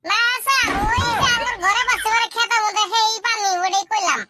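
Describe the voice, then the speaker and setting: Squeaky, aggrieved cartoon schoolboy. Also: female voice, fairly quick. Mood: defensive. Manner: grumbling, indignant. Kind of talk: comedy cartoon dialogue. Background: loud music.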